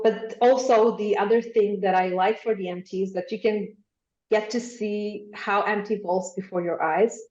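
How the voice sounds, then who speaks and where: A woman's voice: medium pitch, darkish tone. A woman with a Macedonian accent, a podcast guest answering at length with many ums and uhs. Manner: thoughtful, many ums and uhs, light laughs. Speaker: guest, machine translation specialist. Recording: roomy, dull lo-fi remote sound.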